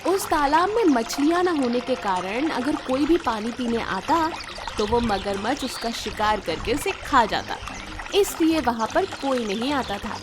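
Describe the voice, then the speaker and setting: A woman's voice: on the high side, lively intonation, measured pace, background noise. A woman giving scripted narration of a children's story.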